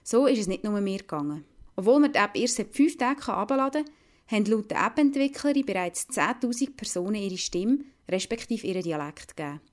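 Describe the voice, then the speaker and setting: A woman's voice: mid-range voice, animated, fairly quick. A woman with a Lucerne Swiss German accent, narrating a scripted radio report as a reporter.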